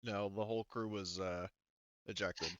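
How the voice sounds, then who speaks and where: A man's voice: on the low side, fairly even intonation, normal speed, clear, resonant tone, no echo, lo-fi sound. A man acting as game master, narrating a tabletop roleplaying game in a casual, hesitant way.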